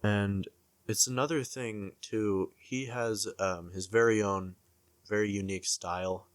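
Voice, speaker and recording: Male commentator, low voice, slow and halting, thinking aloud in an unscripted reflection. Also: fairly even intonation, clear, resonant tone, dry recording. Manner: halting, searching for words, repeats himself. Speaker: video essay commentator.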